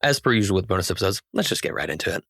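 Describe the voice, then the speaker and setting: Low-voiced man narrating a fantasy game. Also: animated, rapid-fire, creaky. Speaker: game master.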